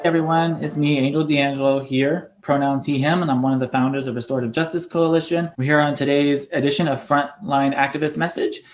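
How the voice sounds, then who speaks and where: A man's voice: a little high, fairly even intonation, fairly quick, few pauses, faint music behind. A man hosting an activist panel, moderating the discussion and introducing speakers and questions.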